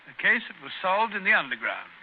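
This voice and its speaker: An actor playing a genial middle-aged English doctor in an old-time radio drama, with a chatty, slightly fussy, hesitant delivery. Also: male voice, high voice, very animated, fairly quick. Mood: genial, reminiscing. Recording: tinny, noisy old radio recording.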